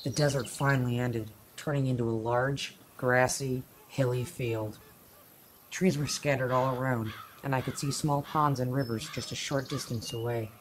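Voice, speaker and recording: A narrator telling a scripted first-person story for an amateur radio play, in a dramatic, deliberate way. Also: mid-range voice, steady tone, slight background noise, rough recording. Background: music.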